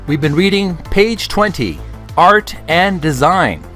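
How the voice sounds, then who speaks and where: Man reading aloud with lively, swinging pitch. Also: faint music behind. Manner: deliberate, halting phrase-by-phrase reading with small stumbles. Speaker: video channel host. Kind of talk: reading a book aloud, with casual asides.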